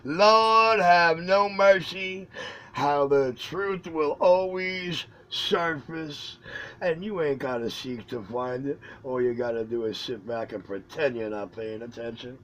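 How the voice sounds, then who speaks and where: A man on CB radio talking in a drawn-out, preachy hype-man way. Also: a little high, a bit bright. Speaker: CB radio operator. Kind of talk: CB radio chatter.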